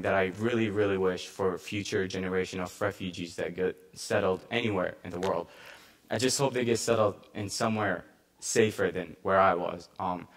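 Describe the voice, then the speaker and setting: Young man, earnest halting testimony, lowish voice. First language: Arabic. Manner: earnest, halting with restarts and fillers. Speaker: panel speaker, refugee volunteer. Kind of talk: personal testimony at a conference.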